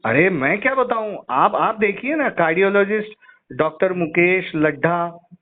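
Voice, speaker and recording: Middle-aged male customer, slightly high voice, challenging and insistent, recorded over a narrowband phone line. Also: lively intonation, unhurried. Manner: insistent, challenging, repeats questions. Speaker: caller, insurance customer and patient. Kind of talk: argumentative phone complaint. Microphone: phone call.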